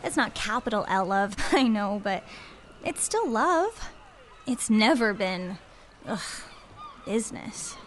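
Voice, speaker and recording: A woman's voice: medium pitch, big pitch swings, slow, slight background noise. An actress playing a free-spirited, openhearted but self-doubting woman in an audio drama, earnest and musing.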